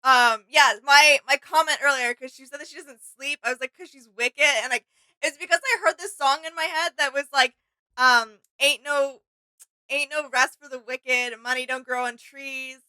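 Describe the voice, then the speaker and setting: A woman's voice: high-pitched, fairly even intonation, normal speed, pushed and bright, no room sound, rough recording. A woman co-hosting a reaction video in casual, conversational chat.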